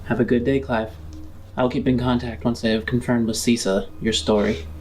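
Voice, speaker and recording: A man playing a reserved, guarded shopkeeper, talking in a halting, measured way that trails off. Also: mid-range voice, flat delivery, a little mellow, a little hiss or hum, lightly acted, poor audio. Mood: cordial.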